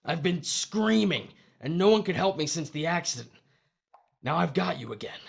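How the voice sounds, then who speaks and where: A man's voice: a little high, animated, moderate pace, gravelly, slightly rough, full character voice. A man playing the desperate, bullying ghost of a dead husband in a horror audio drama, urgent and impatient. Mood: pleading, pained.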